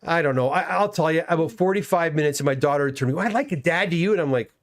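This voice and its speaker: A man's voice: on the high side, quick pace, a crowd in the background. A middle-aged man hosting a casual livestream chat, reading viewer comments aloud and reacting to them. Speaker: host.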